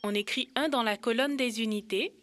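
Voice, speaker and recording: A woman's voice: a little high, moderate pace, a bit bright, faint music behind, natural voice. A woman voicing an eager young girl in a French educational children's cartoon, explaining things step by step. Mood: concentrating. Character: Kibena, eager young girl learning to count.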